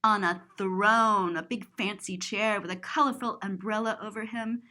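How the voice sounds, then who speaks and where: A woman's voice: medium pitch, fairly even intonation, normal speed, sharp tone. A woman reading a picture book aloud to children, playfully and expressively explaining what is in the pictures. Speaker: children's storybook reader, teacher. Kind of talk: animated read-aloud to children, describing pictures.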